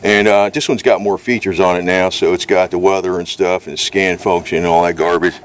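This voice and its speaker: A man's voice: deep voice, fairly even intonation, fairly quick, slight background noise. A man chatting casually outdoors in a blunt, folksy, meandering way, as if showing his gear on a handheld camera. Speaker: amateur vlogger showing his radio gear. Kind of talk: casual rambling chat. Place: outdoors by a vehicle.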